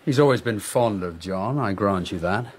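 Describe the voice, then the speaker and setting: An actor playing a guarded warrior prince in a radio drama, speaking tersely and dryly. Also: male voice, on the low side, expressive, normal speed, slightly rough.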